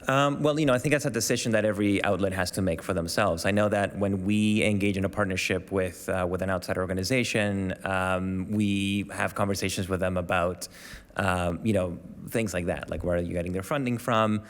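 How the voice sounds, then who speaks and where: A man from Venezuela with a deep voice answers questions on a panel stage. He talks hesitantly and fills the gaps with ums and restarts. His voice rings with the long reverb of a big hall. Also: fairly even intonation, a little fast. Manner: hesitant, many fillers and restarts. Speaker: panel guest, media organisation staff.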